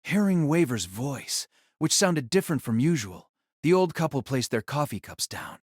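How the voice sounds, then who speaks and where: A man's voice: medium pitch, big pitch swings, normal speed, dry recording, studio quality. A man narrating a scripted audio drama as a storyteller.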